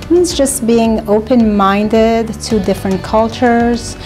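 A woman's voice: fairly high pitch, slow, music in the background. A woman from Morocco, a French teacher, answering interview questions in a classroom in an earnest, reflective way. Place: classroom, indoors.

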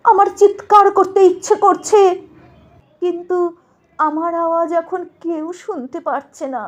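A woman's voice: very high-pitched, unhurried. A woman reciting as the ghost of a murdered young woman doctor, speaking to her mother in an anguished, tearful, pleading way. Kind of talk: dramatic recitation.

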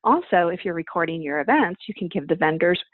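Woman in her forties, lowish voice, emphatic explaining, tinny call audio. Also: expressive, fast, no room sound. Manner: emphatic, explains with examples and rhetorical questions. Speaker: event planning guest. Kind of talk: conversational interview answers. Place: home office, indoors. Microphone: video call. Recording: dry, tinny phone-like video call audio.

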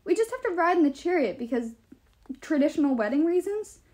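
A woman's voice: very high-pitched, sing-song, brisk, darkish tone, voice pushed up. A woman voicing a nervy, wry young male hero in an audiobook, hesitant and wheedling. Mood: flustered. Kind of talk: audiobook character voice.

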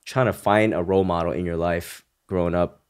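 Podcast guest, man in his 30s from Hong Kong, very low voice, relaxed storytelling. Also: a little fast, smooth. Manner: relaxed, loose phrasing with frequent "you know" and "right?". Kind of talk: casual conversational storytelling.

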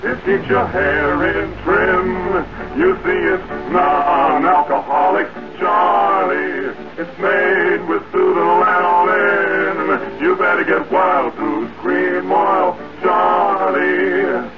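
A man's voice: high voice, flat delivery, slow, highs cut off, over loud music. A man singing and speaking a vintage advertisement jingle, played from an old recording. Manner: sung ad jingle.

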